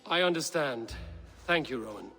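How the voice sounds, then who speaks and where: A man playing a stern Viking leader in a video game, formal, measured and authoritative. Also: medium pitch, animated, vocal fry, sharp tone, long reverb tail, soft background music. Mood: firm, composed. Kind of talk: video game character acting.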